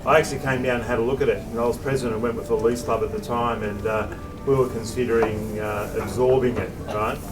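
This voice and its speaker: An Australian man speaking earnestly from the audience, rambling a little, with many pauses. He stands well away from the mic, and music plays clearly behind him. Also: mid-range voice, steady tone, recorded from a distance, poor audio. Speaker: audience member. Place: restaurant function room with music playing.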